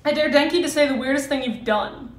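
A young adult woman cosplayer chatting excitably on a livestream, swearing and handing out dares. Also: high-pitched, fairly quick, recorded from a distance, noisy background. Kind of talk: casual livestream chat. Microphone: phone livestream. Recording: echoey room, off-mic.

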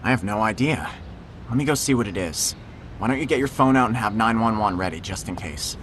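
A man's voice: lowish voice, lively intonation, brisk, a bit bright, slight background noise. A man playing an easygoing, protective teenage boy in an audio drama, casual and conversational. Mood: protective concern. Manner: casual, hesitant, reassuring. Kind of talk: naturalistic audio drama acting.

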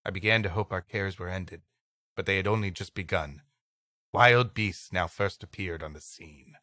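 A man's voice: low-pitched, moderate pace, bright, forceful tone, no echo, studio quality. A man co-hosting a comedy podcast, reading an old adventure story aloud expressively and dropping in playful asides. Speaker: podcast co-host.

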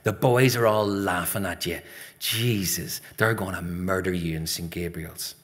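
A man's voice: low-pitched, fairly even intonation, unhurried, fairly bright tone. Man playing a harsh Northern Irish big brother. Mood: sneering. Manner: harsh, taunting. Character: bullying, sneering older brother, a Northern Irish lad.

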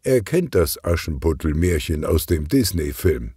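A man narrating a children's detective story in an expressive, scripted audiobook style. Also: rumbling low, unhurried, dry recording, clean studio sound. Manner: expressive, clearly articulated storytelling.

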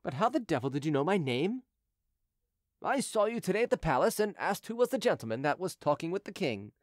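A man's voice: a little high, very animated, fairly quick, dry recording, studio quality, voice pushed up. A man voicing a deferential, anxious palace sentry with a persuasive manner, in an audiobook. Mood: startled, deferential. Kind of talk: audiobook character dialogue.

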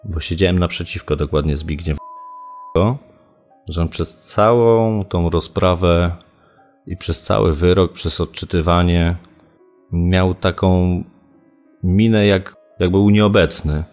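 Halting man with a low voice. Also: steady tone, darkish tone, faint music behind. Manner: halting, searching for words. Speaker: local media reporter, interviewee. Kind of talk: spontaneous interview recollection.

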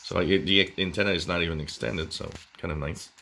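A man presenting radio gear in a casual, unscripted way, with halting, hesitant phrasing. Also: low voice, fairly even intonation, background noise. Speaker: amateur radio video presenter. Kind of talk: casual product talk, unscripted.

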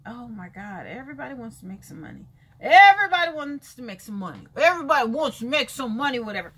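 A 51-year-old woman vlogging a sassy, emphatic rant from a bright room with books, repeating lines for effect and calling listeners baby and honey, gesturing as she talks. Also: fairly high pitch, fast, husky. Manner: lively hand gestures while talking. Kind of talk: casual talking-head rant. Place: bright room with shelves of books by a window, indoors.

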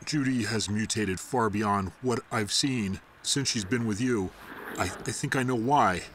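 An actor playing an anxious, placating doctor in an audio drama, hesitant and stammering. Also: male voice, on the low side, measured pace, a bit bright, a little hiss or hum. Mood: nervous pleading. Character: anxious, pleading male doctor, placating and evasive.

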